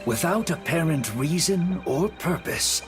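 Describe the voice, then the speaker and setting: A man playing a solemn narrator in a video game, telling an epic legend in a deliberate, dramatic scripted style. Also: on the high side, expressive. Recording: music behind the voice. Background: background music.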